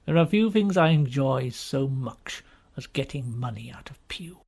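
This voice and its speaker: A man's voice: medium pitch, lively intonation, a little slow, gravelly, darkish tone, husky. A man reading scripted story narration as an audiobook narrator.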